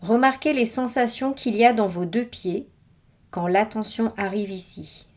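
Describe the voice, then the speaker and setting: A woman's voice: on the high side, darkish tone. Woman guiding a body scan meditation. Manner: measured, instructional, with pauses between phrases. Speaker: meditation guide. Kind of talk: guided body scan meditation, scripted instructions.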